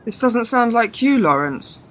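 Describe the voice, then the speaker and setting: A woman's voice: on the high side, very animated, measured pace, a bit bright. A woman acting in a radio drama as a principled, challenging heir to a political consultancy. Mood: wary, questioning. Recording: echoey hall with long reverb.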